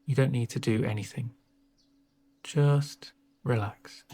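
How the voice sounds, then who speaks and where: A man speaking softly in an ASMR roleplay as a doctor, with a gentle, reassuring, unhurried manner and a breathy voice. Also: mid-range voice. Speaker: ASMR performer playing a doctor. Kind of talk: soft-spoken ASMR roleplay.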